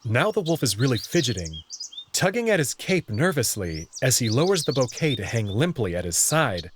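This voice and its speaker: A man's voice: medium pitch, a little hiss or hum, natural voice. A man playing a bewildered young human who narrates his own story in a visual novel and speaks hesitantly. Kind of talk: first-person visual novel narration and dialogue.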